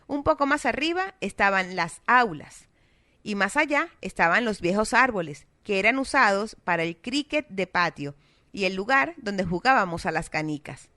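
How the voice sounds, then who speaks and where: A woman with a South American Spanish accent, reading a children's story aloud in a steady, expressive way. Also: medium pitch, normal speed, no room sound. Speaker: narrator. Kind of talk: scripted storybook narration.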